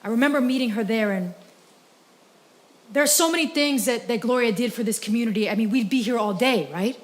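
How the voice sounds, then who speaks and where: A woman's voice: a little high, a little fast. A woman poet performing spoken word with rhythmic emphasis, and speaking casually as herself. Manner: rhythmic, emphatic poetry delivery. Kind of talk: spoken word poetry and stage monologue.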